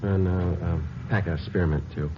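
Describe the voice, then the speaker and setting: An actor playing a calm, laconic undercover police lieutenant who also narrates, in an old radio detective drama. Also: male voice, low voice, moderate pace, background noise, natural voice. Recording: dull old radio recording with music.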